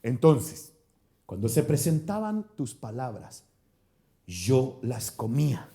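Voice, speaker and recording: A man's voice: medium pitch, sing-song, slow pace, vocal fry, background noise. A man from Chile with a Chilean accent, a pastor leading a conversational Bible study and quizzing his congregation as he talks. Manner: chatty, asks the congregation questions, frequent restarts. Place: church hall.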